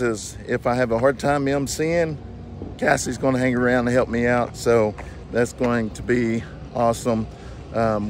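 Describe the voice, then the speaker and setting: A hoarse man in his forties, his voice worn down, walks through an outdoor fairground and talks into a phone held at arm's length. His talk is casual and halting, full of "uh" and "um". Wind and outdoor noise sit clearly behind him. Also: medium pitch. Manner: talks to camera while walking, halting with many fillers. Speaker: homesteading vlogger and event emcee. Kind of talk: casual vlog walk-through. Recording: noisy outdoor phone recording.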